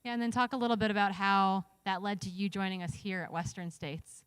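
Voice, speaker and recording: A woman's voice: mid-range voice, steady tone, fairly quick, smooth, dry recording. A woman hosting a live, casual stage interview in a rambling, chummy, joking manner. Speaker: host interviewing runners on stage.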